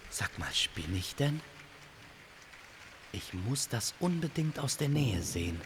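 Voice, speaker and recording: A man's voice: mid-range voice, sing-song, takes it slowly, noisy background, voice pushed up. A man voicing a curious, puzzled wanderer in a fantasy audio drama, musing and questioning aloud to himself. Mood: amazed, eager. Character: curious, puzzled male wanderer in a misty forest.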